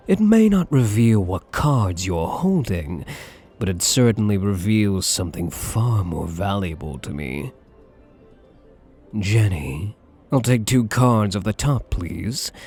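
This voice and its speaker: Slow, breathy, suave gambler acted by a man. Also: fairly low pitch, a little mellow, heavily acted. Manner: slow, teasing, drawling with pet names. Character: suave possessive male gambler, flirtatious and menacing. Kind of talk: intimate ASMR roleplay acting.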